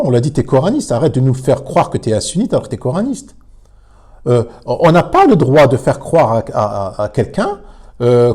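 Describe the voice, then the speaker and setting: A man commenting on a religious debate, emphatic but halting, with many repeated words and fillers. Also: mid-range voice, dark tone. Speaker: commentator reacting to a clip.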